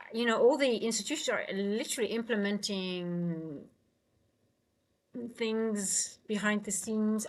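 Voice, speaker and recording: A woman hosting a live trading stream, giving casual commentary to her chat, thinking aloud tentatively and often trailing off mid-sentence. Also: medium pitch. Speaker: livestream host, futures trader and coach.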